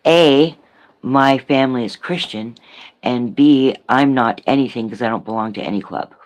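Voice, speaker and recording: A man from Canada with a Canadian accent, chatting casually on a panel in a halting way, repeating phrases. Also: mid-range voice. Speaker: panel participant.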